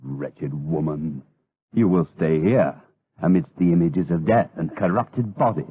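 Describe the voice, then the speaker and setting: A man's voice: low-pitched, a little slow, slight background noise. A man playing a villainous, guilt-ridden monk in a gothic audio drama, commanding and anguished in a melodramatic way. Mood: menacing, tormented. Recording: tinny band-limited old radio drama.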